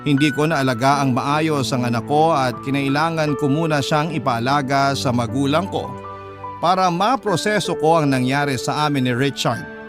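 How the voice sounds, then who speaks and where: A man's voice: medium pitch, normal speed, over music. A man narrating a listener's letter as a radio storyteller, in an emotive, confessional scripted style.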